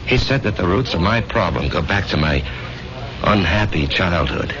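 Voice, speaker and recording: An actor in a 1970s radio drama playing a troubled, insecure war veteran with a hesitant manner. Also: male voice, deep voice, animated, normal speed, a crowd in the background. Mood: rueful. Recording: dull old radio recording with music.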